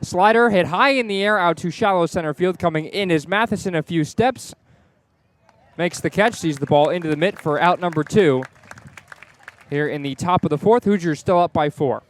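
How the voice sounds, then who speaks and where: A man's voice: sits high, moderate pace, some chatter behind. A man calling live baseball play-by-play on the radio from the ballpark. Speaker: play-by-play sports announcer. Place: outdoors at a baseball stadium.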